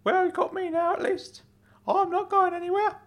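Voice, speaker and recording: A man voicing a kindly, fussy older uncle in an audiobook story, earnest and excitable. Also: extremely high pitch, moderate pace, pitched above the performer's own voice. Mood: wistful, fond. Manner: excitable, earnest, swooping delivery. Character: kindly older uncle, fussy, caring, fond of dad jokes.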